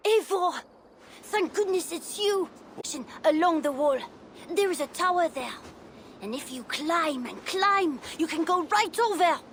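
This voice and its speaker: A woman's voice: very high-pitched, expressive, measured pace, a bit bright, a little hiss or hum, full character voice. A woman voicing an earnest, frightened young boy prince in a video game. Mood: relieved, urgent.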